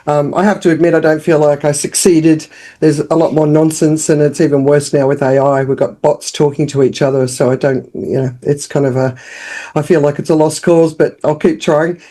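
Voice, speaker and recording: Frank Australian woman with a mellow voice. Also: low-pitched, normal speed. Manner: lively and frank, with frequent restarts and fillers. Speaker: guest, founder and CEO. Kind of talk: casual interview talk.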